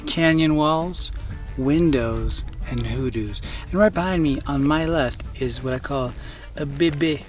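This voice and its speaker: A man's voice: fairly high pitch, a little slow, few pauses, faint music behind. A young man talking casually and jokingly for a travel vlog, picked up by the camera's mic. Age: young adult. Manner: joking, informal. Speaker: travel vlogger. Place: outdoors on trails, also restaurant booth.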